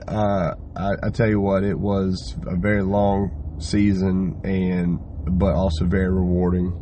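A hoarse man hosting a podcast, talking through a loose, rambling solo update and swearing casually. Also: sits low, darkish tone, a little hiss or hum. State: hoarse, lost voice from yelling. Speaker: podcast host.

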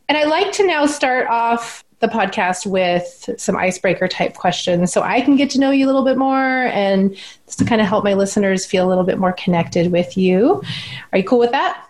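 A woman from Alaska hosting a podcast, upbeat and bubbly, with a scripted intro and casual interview chat. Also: medium pitch. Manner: upbeat and bubbly, laughs often. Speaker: podcast host.

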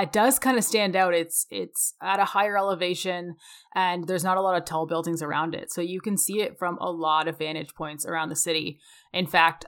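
A woman's voice: fairly low pitch, a bit bright. A woman co-hosting a conversational podcast, speaking in an opinionated, emphatic way. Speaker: podcast co-host.

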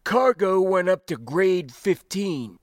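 Man putting on a slow, folksy old spacer. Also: high voice, expressive, dry recording, clean studio sound, pitched above the performer's own voice. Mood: reminiscing, proud. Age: older. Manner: folksy, clipped, rambling old-timer phrasing. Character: proud old male spacer cargo hand, folksy and grinning.

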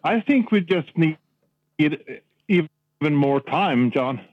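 A man's voice: on the high side, expressive, takes it slowly, no room sound. A middle-aged man with a Norwegian accent, a guest speaking candidly and plainly, repeating words as he thinks. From Norway. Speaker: podcast guest. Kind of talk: casual conversation. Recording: tinny phone-line sound.

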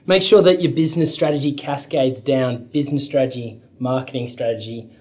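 A man's voice: mid-range voice, a little fast, darkish tone. A man who is a strategy consultant on a podcast, giving structured advice in a conversational way.

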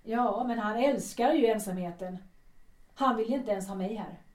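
An actress playing a wounded, sharp-tongued woman of thirty-three in a radio drama, challenging and formal in her address. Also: on the high side, recorded from a distance, close to an everyday voice. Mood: reproachful. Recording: echoey room, off the mic.

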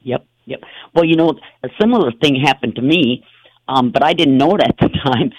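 A man's voice: mid-range voice, normal speed, dry recording, highs cut off. A man hosting a talk show, telling stories in a rambling, casual way with many fillers and restarts. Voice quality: gravelly, husky.

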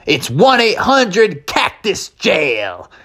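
A man voicing a folksy, self-assured cowboy horse, a tough old-west sheriff type with a slow Southwestern drawl, in a children's story. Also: high-pitched, wildly swooping pitch, bright, forceful tone, pitched above the performer's own voice, heavily acted. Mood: matter-of-fact.

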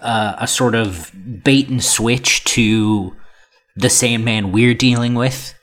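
A man in his thirties co-hosting a comics podcast, explaining his take in halting, hesitant bursts with dry jokes. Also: mid-range voice, steady tone, a bit bright. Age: 30s. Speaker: podcast co-host. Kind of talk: casual book club discussion.

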